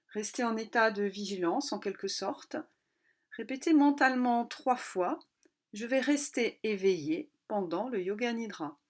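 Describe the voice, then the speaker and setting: A woman yoga nidra instructor leading a guided relaxation in a calm, unhurried way, pausing between short instructional phrases. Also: mid-range voice.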